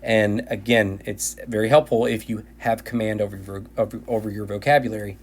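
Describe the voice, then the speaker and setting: A man teaching linear algebra in a recorded video lecture, his voice tired and going hoarse, often restarting and repeating phrases. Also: fairly low pitch, flat delivery, fairly quick. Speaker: math teacher.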